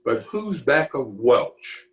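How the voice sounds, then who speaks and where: A man's voice: medium pitch, sing-song, slow, a bit bright, reverberant. A man playing a pompous, easily exasperated middle-aged official in an old-time radio comedy, recorded at home over a video call. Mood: badgering. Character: blustery, exasperated middle-aged male water commissioner, pompous and opinionated.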